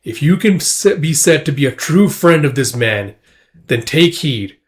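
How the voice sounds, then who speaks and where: A man's voice: fairly high pitch, expressive, a little slow. A man voicing an immortal demon warrior from a comic, with solemn, grandiose, menacing pronouncements. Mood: ominous, prophetic.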